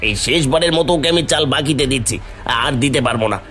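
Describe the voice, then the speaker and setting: A man's voice: on the high side, fast, fairly bright tone, faint music behind, natural voice. A man voicing a stingy, brusque village shopkeeper in a cartoon fairy tale. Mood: irritated. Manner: brusque, complaining. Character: grumpy, stingy male village rice shopkeeper.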